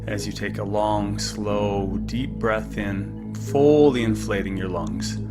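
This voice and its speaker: A man with a fairly low voice guides a meditation over clearly audible music. His delivery is calm and unhurried, giving gentle instructions as he goes. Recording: music behind the voice.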